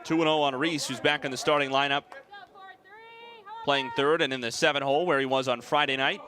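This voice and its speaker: A young American man calling baseball play-by-play in a measured, articulate way. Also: mid-range voice, steady tone, sharp tone, some chatter behind. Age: young adult. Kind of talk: sports play-by-play commentary.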